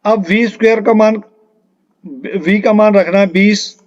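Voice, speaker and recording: A man's voice: high-pitched, fairly even intonation, measured pace, a little mellow, muffled recording. A man teaching an exam-preparation lesson step by step, explaining patiently and repeating key terms as he works through questions.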